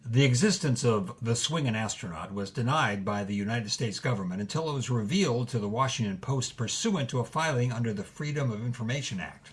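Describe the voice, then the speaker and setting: A man's voice: medium pitch, breathy edge. A man reading scripted documentary-style narration and credits as the announcer of an audio drama.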